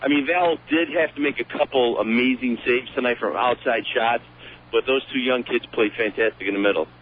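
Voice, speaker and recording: A man's voice: medium pitch, a little fast. A chatty man joins a sports radio show and jokes around, tripping over his words now and then. He comes through a thin, tinny phone line with a faint hum underneath. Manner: chatty, joking, stumbling restarts. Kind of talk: casual radio chat.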